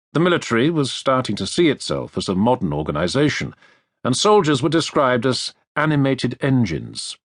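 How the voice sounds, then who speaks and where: A man reading a nonfiction history audiobook as a narrator, with measured, deliberate phrasing, close to a studio microphone. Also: medium pitch, no room sound. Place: dry recording studio.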